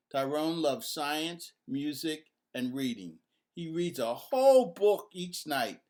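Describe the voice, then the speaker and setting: A man reading his own children's book aloud as an expressive, emphatic storyteller. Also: medium pitch.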